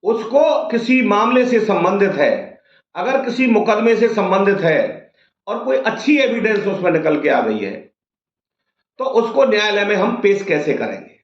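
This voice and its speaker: A man's voice: sits high, animated, measured pace. A man giving an informal legal explainer to viewers in a didactic way, posing questions and answering them himself. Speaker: advocate explaining law to viewers. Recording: dull, roomy, echoing sound.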